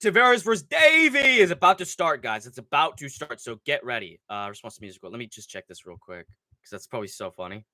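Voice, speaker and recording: A man's voice: a little high, fast, dry recording. A young man hosting a casual livestream, with a stuffy nose from a cold, rambling and swearing as he talks through fight picks. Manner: profane, rambling, excitable. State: nasal from a cold. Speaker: livestream host.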